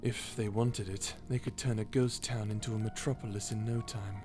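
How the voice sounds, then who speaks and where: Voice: mid-range voice, fairly even intonation, moderate pace, music in the background, pitched below the performer's own voice, natural voice. A performer voicing a cold, edgy male tsundere vampire in an ASMR roleplay, curt and dismissive, hiding fondness. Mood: curt, guarded. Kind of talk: ASMR roleplay character acting.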